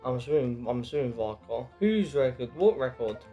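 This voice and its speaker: A man with a medium-pitched voice rambles casually as he plays a game. He muses aloud and reads out dialogue, with faint music in the background. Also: lively intonation, a little fast, mellow. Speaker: game streamer. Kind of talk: casual playthrough commentary, reading dialogue aloud.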